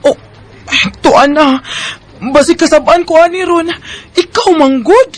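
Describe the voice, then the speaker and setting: A woman's voice: high-pitched, measured pace, over music. A young woman with a Bisaya Filipino accent playing an emotional, easily flustered office worker in a radio drama. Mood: scolding, nervous.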